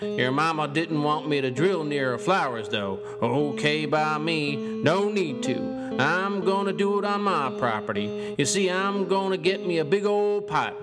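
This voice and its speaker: A man's voice: fairly high pitch, lively intonation, measured pace, pitched above the performer's own voice. Man putting on a boastful drawling uncle, music behind. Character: folksy, boastful Southern uncle scheming to drill oil.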